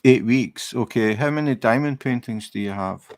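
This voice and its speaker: A middle-aged Scottish man chatting casually on a livestream while he crafts, relaxed and rambling, with many fillers and restarts. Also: medium pitch, normal speed, no room sound. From Scotland. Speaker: livestream host. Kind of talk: casual chat while crafting, reading viewer comments.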